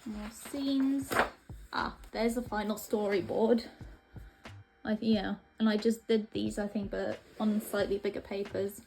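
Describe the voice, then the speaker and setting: A woman's voice: medium pitch, flat delivery, measured pace, vocal fry, over music, low-quality recording. A young woman from Latvia chatting casually and hesitantly as she flips through her old sketchbooks. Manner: rambling, hesitant, offhand. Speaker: art vlogger. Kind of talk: casual narration while flipping through sketchbooks.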